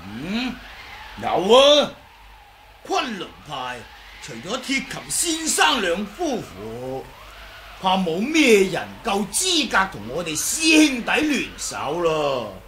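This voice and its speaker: A man's voice: sits high, wildly swooping pitch, takes it slowly, fairly bright tone, exaggerated voice. An actor playing a cocky, taunting swordsman in a 1980s Hong Kong Cantonese radio drama, bantering and exaggerated. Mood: mocking. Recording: noisy old radio drama recording.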